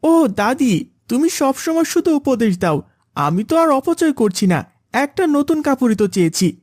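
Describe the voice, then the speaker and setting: A young cartoon boy with a high, earnest voice. The delivery is childlike and eager, as in an animated moral tale, and the sound is close and dry. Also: moderate pace, no room sound. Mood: whiny, insistent. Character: young boys: spoiled eager son and gentle poor elder brother. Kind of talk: animated Islamic moral story voice acting.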